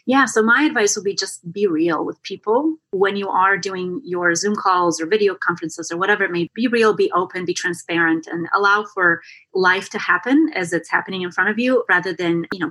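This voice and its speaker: A woman's voice: fairly low pitch, fairly bright tone, poor audio. A woman of about forty, originally from Bulgaria and raised partly in America, giving earnest, articulate explanations as an interview guest on a remote call. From born in Bulgaria, in America from age twelve. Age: late 30s to early 40s. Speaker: interview guest, consultant and author. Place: remote call over a screen.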